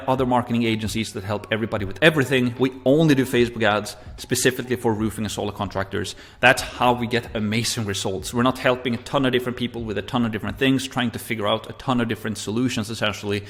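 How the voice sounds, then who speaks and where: A man's voice: mid-range voice, fairly bright tone. Swedish-accented man, course instructor, easygoing, heavy on fillers. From Sweden. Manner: frequent fillers, "essentially" and "right?". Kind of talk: recorded marketing lesson.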